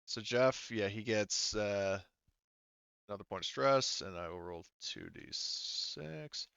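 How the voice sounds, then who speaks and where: A man acting as game master of a casual tabletop roleplaying game, speaking haltingly as he thinks through the rules aloud. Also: lowish voice, expressive, gravelly, a bit bright, clean tone, no room sound, dull audio.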